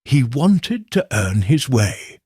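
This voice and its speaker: A man's voice: medium pitch, big pitch swings, takes it slowly, a little mellow, slightly rough, dry recording, studio quality, full character voice. A man voicing an anxious young woman typist who speaks earnestly and pleadingly in a storybook reading.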